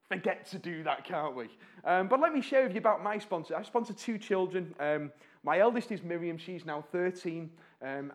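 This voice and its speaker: Chatty man addressing a congregation. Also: sits high. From North West England. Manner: warm-hearted, chatty, asks the audience questions; fillers and restarts, pauses while slides change. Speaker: charity speaker at a church. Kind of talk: church talk to a congregation. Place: church.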